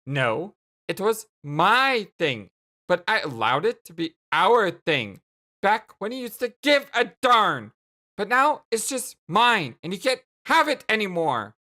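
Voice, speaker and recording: A woman's voice: mid-range voice, very animated. A woman voicing an exasperated, hot-tempered young woman in a dramatic dialogue, stretching her words out emphatically. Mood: bitter. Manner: emphatic, drawn-out, shouting outbursts.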